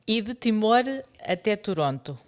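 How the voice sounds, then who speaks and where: A woman's voice: mid-range voice, expressive, measured pace. A woman hosting a podcast interview, mostly giving brief acknowledgements and asking short questions. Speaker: podcast host. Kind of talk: casual interview.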